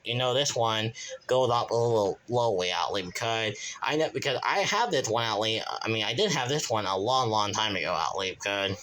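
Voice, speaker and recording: A man's voice: lowish voice, sharp tone, slight background noise, poor audio. A man from Kansas talking casually in a show-and-tell vlog from his bedroom, rambling with many restarts and a repeated verbal tic. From Kansas, USA. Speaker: channel owner, weather radio hobbyist.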